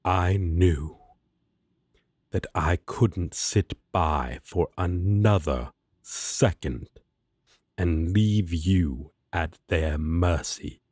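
A man's voice: deep voice, very slow, darkish tone, dry recording, studio quality, exaggerated voice. A man playing a righteous, protective angel warrior in a fantasy audio roleplay, speaking in formal, grandiose, archaic phrasing. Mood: solemn, reassuring. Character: solemn righteous male angel warrior, protective and self-important.

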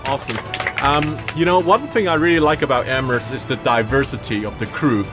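A man's voice: mid-range voice, a little fast, music in the background, a crowd in the background. A man in his thirties filming a casual, enthusiastic review inside an airplane cabin, talking with many fillers into a handheld camera. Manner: enthusiastic, chatty, with frequent fillers. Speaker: travel vlogger. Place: airplane cabin and shower room. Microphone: handheld camera at arm's length.

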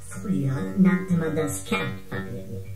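An actress playing a curious, fussy agent in a radio drama, speaking in a clipped, formal way. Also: female voice, deep voice, expressive, slow. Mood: curious, reproving. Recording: echoing, loud guitar music behind.

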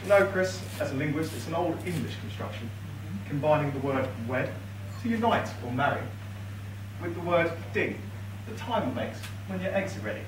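A young man delivers a best man's speech with a dry, teasing wit, and his pitch rises and falls a lot. He sounds far from the camera in an echoey reception hall. Guests laugh behind him over clear room noise. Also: expressive, normal speed. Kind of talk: wedding speech read from notes. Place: large reception room with high ceiling, indoors. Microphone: far camera across the room, no mic. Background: guests laughing, room ambience.

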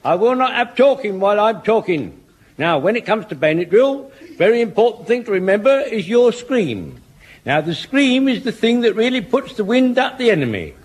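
Excitable, high-voiced old English corporal. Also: male voice, normal speed, slight background noise. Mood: fussy, earnest. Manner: fussy, repetitive, barking orders. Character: excitable, doddery old lance corporal drilling his squad. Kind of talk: British radio sitcom acting.

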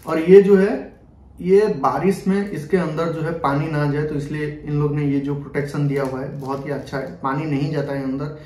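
A man's voice: on the high side, steady tone, moderate pace, a little mellow. A young man giving a casual, repetitive hands-on product demonstration to camera, mixing in English words. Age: young adult. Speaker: product review vlogger. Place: small bedroom, indoors. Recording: roomy echo.

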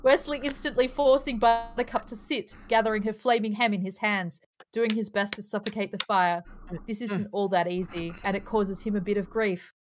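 A woman's voice: medium pitch, fairly even intonation, moderate pace, noisy background. A woman reading stage directions aloud as narrator in a live script table read over a video call.

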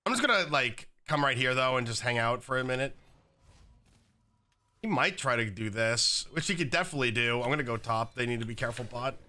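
Slightly raspy young man with a bright voice. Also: mid-range voice, expressive, a little fast. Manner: casual, chatty, many fillers like "like" and "um". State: slightly raspy, recovering from illness. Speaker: gaming YouTuber. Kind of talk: gameplay commentary. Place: home gaming setup, indoors.

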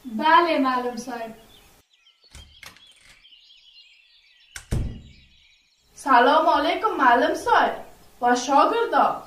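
A woman's voice: high voice, expressive, a bit bright. A woman playing a polite, eager village schoolgirl, deferential and earnest, in a radio drama. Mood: apologetic, polite. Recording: echoey room, distant from mic.

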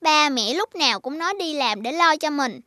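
A woman's voice: high-pitched, expressive, normal speed, bright, forceful tone, no echo, clean studio sound. A woman voicing an eager, talkative young boy in a cartoon. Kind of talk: cartoon voice acting.

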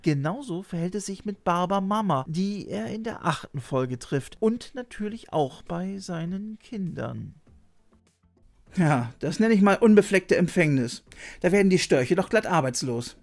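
A man's voice: high voice, lively intonation, a little slow, vocal fry. Middle-aged man narrating over music. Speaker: host and narrator. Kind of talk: scripted video essay narration. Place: small room lined with shelves, indoors. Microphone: desk microphone. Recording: music behind the voice.

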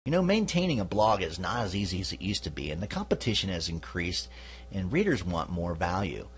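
A man's voice: sits low, sing-song, a little fast, slightly rough. A man hosting a podcast, giving an instructional talk casually, with "you know" asides. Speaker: podcast host.

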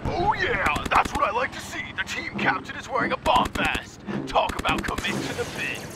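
A man's voice: very high voice, wildly swooping pitch, moderate pace, very noisy, heavily acted. A man voicing a manic, bombastic, foul-mouthed sports announcer in an over-the-top cartoon parody commentary. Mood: manic, gloating. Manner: profane, bombastic, hyped.

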